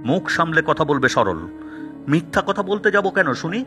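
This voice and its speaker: A man voicing a firm, direct, aggrieved villager in an animated cartoon. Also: on the high side, moderate pace, faint music behind. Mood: indignant, firm.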